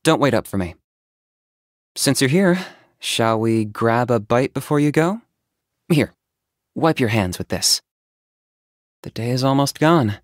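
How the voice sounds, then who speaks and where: An actor playing an aloof, pragmatic young hunter in a video game, speaking in a calm, reserved, matter-of-fact and dryly sardonic way. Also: male voice, medium pitch, a little slow, pauses often, no echo, clean studio sound, lightly acted. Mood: calm, considerate. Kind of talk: video game character voice acting.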